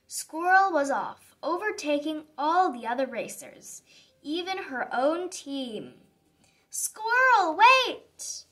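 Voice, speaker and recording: A woman reading a children's story aloud in an expressive, dramatic manner for young listeners. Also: sits high, slow. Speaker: storybook reader. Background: background music.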